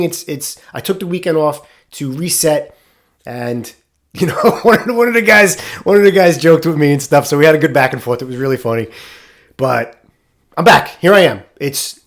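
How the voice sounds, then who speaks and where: A middle-aged man hosting a casual solo podcast, stuffed up and nasal from a sinus infection, rambling candidly. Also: a little high. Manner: rambling, candid, occasional swearing. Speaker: podcast host, firefighter.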